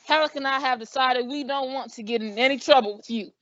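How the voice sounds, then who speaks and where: A nervous, shifty male crook, voiced by a young woman. The voice is high and hurried. The recording is dry and muffled. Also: dry recording, lightly acted. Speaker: high school drama student. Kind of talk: amateur radio play acting.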